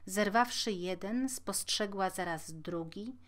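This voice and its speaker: Slow-paced woman storyteller. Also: medium pitch, fairly bright tone. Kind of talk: scripted fairy-tale narration.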